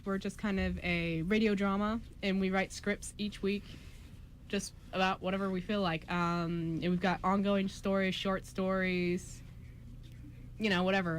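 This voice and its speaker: A young adult woman hosting a radio drama show, chatting casually and explaining in loose, informal asides. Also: mid-range voice. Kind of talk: casual chat. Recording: noisy room recording.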